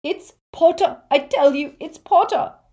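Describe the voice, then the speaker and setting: A woman's voice: measured pace, mellow, dull audio. A woman voicing a frightened, desperate father wizard, pleading tearfully in an audiobook. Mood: sobbing, frantic. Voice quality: high, tearful, wailing.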